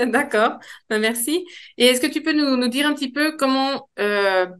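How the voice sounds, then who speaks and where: A woman's voice: high voice, normal speed, a bit bright. A woman interviewing a client, asking questions and giving short encouraging replies. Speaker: interviewer for the program.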